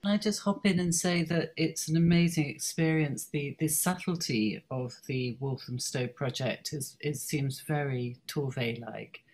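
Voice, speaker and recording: A woman's voice: low voice, unhurried, few pauses, a little mellow. Woman panellist commenting on a video call. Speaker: publisher, panellist. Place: indoor room on a video call.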